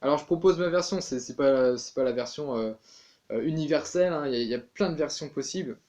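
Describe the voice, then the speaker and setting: A man's voice: on the high side, quick pace, darkish tone, muffled recording. Chatty man teaching tin whistle. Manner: chatty, many fillers and restarts. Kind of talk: casual music tutorial.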